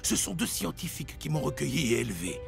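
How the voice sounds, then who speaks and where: A man's voice: fairly high pitch, very animated, fast, gravelly, music in the background, heavily acted. A man voicing a polite, hunted talking orangutan in a French cartoon, speaking in an earnest, articulate, urgent way. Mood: earnest, explaining.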